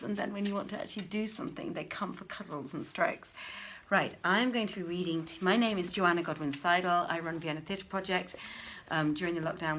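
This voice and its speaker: A woman's voice: fairly low pitch, a bit bright. Chatty woman host, noisy recording. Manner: chatty, conversational. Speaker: host and reader of an online story series. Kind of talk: informal livestream introduction before a reading.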